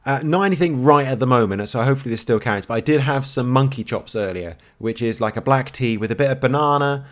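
A man's voice: mid-range voice, fast talker. A man co-hosting a podcast in casual chat, with dry teasing humour. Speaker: podcast co-host.

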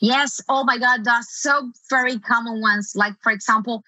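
A woman's voice: on the high side, fairly even intonation, normal speed, pushed and bright, no room sound, poor audio. A woman from Latin America with a Spanish accent, a native Spanish speaker, explaining things emphatically as an interview guest. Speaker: guest SEO consultant. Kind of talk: conversational interview answers.

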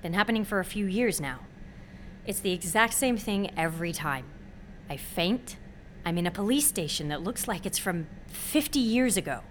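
A woman's voice: on the low side, animated, moderate pace, a little hiss or hum, lightly acted. An actress playing an intense, wry, guarded young woman in her twenties, in natural audio drama dialogue. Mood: earnest, guarded.

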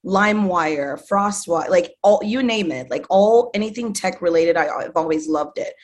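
Woman guest talking in a lowish voice. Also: normal speed. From Zimbabwe. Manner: enthusiastic, many fillers like "like" and "you know". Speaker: guest, artist manager. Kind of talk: casual interview conversation.

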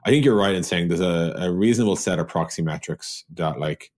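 A man's voice: sits low, brisk, darkish tone. A man in an informal business discussion, explaining things conversationally as a tech executive and co-host, repeating words for emphasis.

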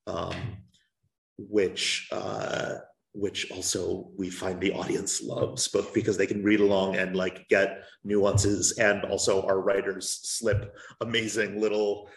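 A man's voice: low-pitched, flat delivery, slow, gravelly. A man talking through podcast production at a panel, with many fillers and restarts. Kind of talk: panel discussion. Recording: roomy echo.

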